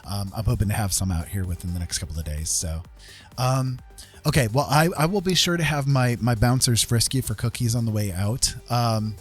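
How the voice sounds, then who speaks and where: A man casually chatting as a livestream host, earnest and encouraging, talking in a halting way with pauses. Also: medium pitch, soft background music. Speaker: livestream host and digital artist.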